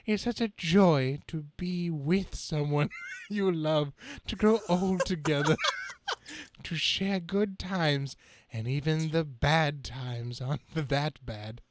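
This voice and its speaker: A man's voice: on the high side, slow, a little hiss or hum. Man playing a frail, doting elderly aunt. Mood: wistful.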